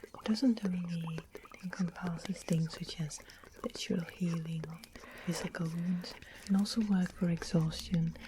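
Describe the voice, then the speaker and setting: A woman's voice: fairly low pitch, fairly even intonation, close to an everyday voice. Whispering, sweet and sly witch played by a woman. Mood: careful, explaining. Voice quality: soft unvoiced whisper. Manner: gentle, unhurried, doting, quietly sinister. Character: sweet-smiling, sly witch of a fantasy land. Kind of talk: ASMR fantasy roleplay. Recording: close whisper with clear background noise, clicks.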